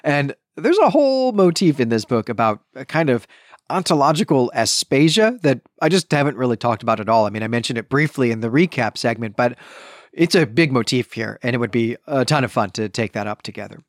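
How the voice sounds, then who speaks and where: A man's voice: mid-range voice, expressive, a little fast, no echo, studio quality. A man hosting a book review podcast, speaking in an emphatic, opinionated and conversational way. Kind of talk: podcast book review, reading passages and commenting.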